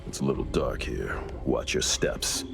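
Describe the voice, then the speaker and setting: Very deep gravelly man. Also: a little slow, music in the background, full character voice. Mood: calm, cautious. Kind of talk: video game voice acting.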